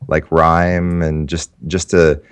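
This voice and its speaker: A man's voice: a bass voice, little pitch movement, slow, clean tone. A man in his thirties whose first language was Japanese, a guest writer telling his story in a loose, rambling way with many restarts. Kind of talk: casual interview talk.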